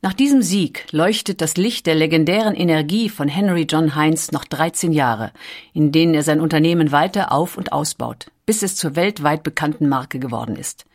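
Low-pitched woman narrating a documentary. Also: moderate pace, a little mellow. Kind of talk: scripted documentary audiobook narration. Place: recording studio.

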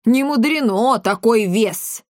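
A woman's voice: medium pitch, expressive, moderate pace, no echo, clean studio sound, lightly acted. A woman voicing a tiny old mother in a storybook, domineering, scolding and theatrically outraged.

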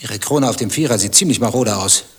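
An actor playing a nosy, suspicious stranger in a satirical radio drama, probing and insinuating with deadpan, precise speech. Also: male voice, fairly low pitch, brisk, slightly rough. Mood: dry, mocking. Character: nosy, suspicious male stranger pestering a waiting man. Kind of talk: dialogue-driven satirical radio drama acting.

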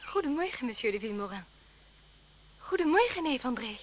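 High, lilting, coquettish young noblewoman. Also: sing-song, fairly quick. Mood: playful, coquettish. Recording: dull, noisy old radio-play recording.

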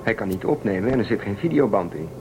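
Man voicing a calm protective space traveler, lowish voice, reasoning tone, noisy tape hiss. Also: fast, lightly acted.

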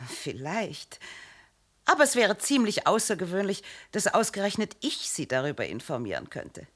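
An actress playing a poised, sharp-tongued diplomat's wife who parries a police inspector with irony, in a radio play. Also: female voice, mid-range voice, sing-song, normal speed. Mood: ironic. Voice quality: ironic, poised.

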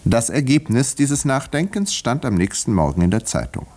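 An actor playing a wry village doctor who narrates a murder mystery in a 1950s German radio play, measured and educated. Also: male voice, mid-range voice, big pitch swings, a little mellow, husky. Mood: measured narration.

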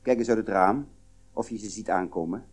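An actor playing a worried, fussy old grandfather with a husky voice in a children's audio play. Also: lowish voice, a little fast, natural voice. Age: older. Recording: old cassette, faint music behind.

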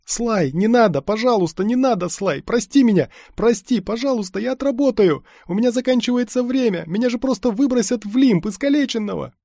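A man voicing a skinny, frightened young man in an audiobook, pleading desperately in a strained, hoarse voice. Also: extremely high pitch, takes it slowly, no room sound, clean studio sound, pitched above the performer's own voice. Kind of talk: audiobook character acting.

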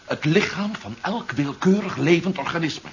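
A grave sci-fi radio hero who argues with urgent conviction. His pitch moves widely as he makes his insistent points. The seventies recording sounds dull and a little noisy. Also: expressive, normal speed. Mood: grave realisation. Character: urgent, grave male investigator warning of alien invasion. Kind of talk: 1970s science fiction radio play acting.

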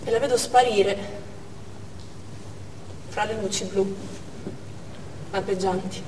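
An actress playing an anguished, urgent woman doctor in a dramatic stage monologue, speaking intensely and deliberately in short phrases. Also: medium pitch, steady tone, close to an everyday voice. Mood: restrained. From Armenia (the character). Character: Armenian woman doctor recounting a theatre siege, anguished, urgent. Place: indoor theatre stage. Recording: echoey theatre hall, distant mic, faint noise.

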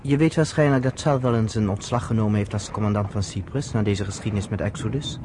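Male actor, lowish pitch, as persuasive, companionable narrator figure in radio drama; slight noise in recording. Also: fast talker, a little mellow, lightly acted. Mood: inviting. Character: earnest storyteller, a persuasive, companionable Zionist man. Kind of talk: Dutch radio drama acting, storytelling.

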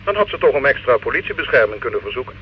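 Man as a brisk, officious legal official. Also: medium pitch, sing-song, lightly acted. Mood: sceptical, reproving. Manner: formal, brisk, reproving. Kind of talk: old radio drama acting. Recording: dull, hissy old radio recording.

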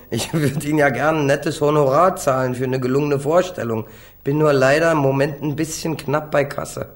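A man's voice: fairly high pitch, normal speed, close to an everyday voice. A man acting a defiant, street-tough young small-time crook in a German radio crime drama, flippant and slangy. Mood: sarcastic. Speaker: actor playing a defendant.

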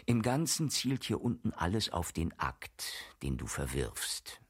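A man's voice: deep voice, sing-song, unhurried, breathy edge, full character voice. An actor playing a worldly, cynical male friend who lectures with learned irony in a declamatory radio play style. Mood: sardonic lecturing. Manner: rhetorical, declamatory, sardonic. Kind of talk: German radio play acting.

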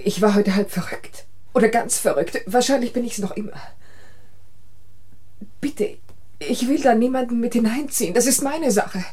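Despairing killer wife, muted mellow tone, breathy huskiness, resigned, hesitant, radio crime drama. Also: female voice, a little high, moderate pace, pauses often. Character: despairing, guilt-ridden wife who killed her husband. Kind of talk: German radio crime play acting.

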